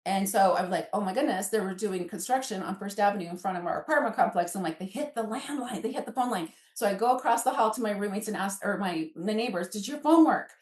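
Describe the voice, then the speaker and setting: A woman's voice: medium pitch, animated, fast, hardly any pauses. A chatty woman in her fifties tells personal stories as a podcast guest, acting out remembered bits of dialogue. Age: 50s. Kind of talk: animated personal storytelling. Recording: echoey room.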